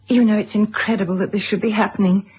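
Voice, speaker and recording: Very husky, restrained wronged wife. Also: female voice, mid-range voice, steady tone, fast talker. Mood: shaken, resigned. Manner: formal, restrained, hesitant. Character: reserved, wounded wife and mother, stiffly polite. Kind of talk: 1970s radio drama acting. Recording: dull lo-fi vintage radio recording.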